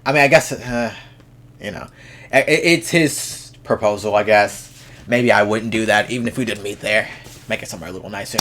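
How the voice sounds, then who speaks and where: A man's voice: fairly low pitch, fairly quick, fairly bright tone. Young man rambling in a reaction video. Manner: rambling, frank, swears freely, many restarts. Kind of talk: casual reaction commentary.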